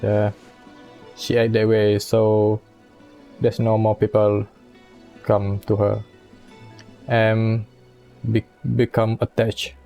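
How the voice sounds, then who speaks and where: A man doing casual game playthrough commentary, halting as he reads choices and adds short asides. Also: lowish voice, completely flat delivery, mellow, soft background music. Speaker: game streamer.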